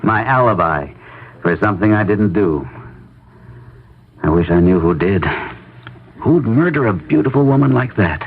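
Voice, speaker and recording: An actor playing an anxious, shell-shocked army captain, earnest and hesitant, in a 1970s radio mystery drama. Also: male voice, on the low side, lively intonation, normal speed, long pauses, husky. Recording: tinny, noisy old radio broadcast.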